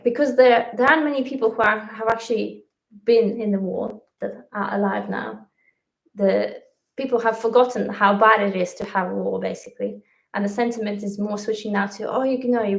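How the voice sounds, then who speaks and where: A woman's voice: medium pitch, gravelly. A woman from Latvia whose first language is Russian, co-hosting a casual livestream and talking with many fillers and restarts. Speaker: livestream co-host. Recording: echoey room.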